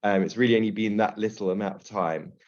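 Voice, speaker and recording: Male webinar presenter, deep voice, measured and thoughtful, restarts mid-sentence, muffled audio. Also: a little mellow. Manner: thoughtful, with restarts and self-corrections. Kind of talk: explaining to an audience.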